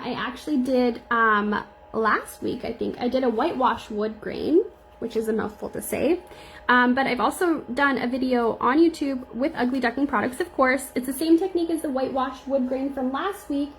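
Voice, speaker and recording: Young woman nail educator, slightly squeaky, a bit gravelly, chatty live-tutorial delivery. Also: moderate pace, a little hiss or hum, low-quality recording. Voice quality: a little squeaky. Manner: chatty, reads and answers viewer comments.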